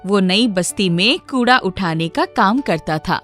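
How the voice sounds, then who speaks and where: A woman's voice: mid-range voice, lively intonation, measured pace, a bit bright, soft background music. A woman reading a scripted children's moral story as its narrator.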